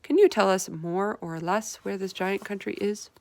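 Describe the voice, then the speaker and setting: A woman voicing a calm, commanding older queen in a storybook read-aloud. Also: mid-range voice, moderate pace, creaky, no echo, natural voice. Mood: composed. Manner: composed, firm, polite.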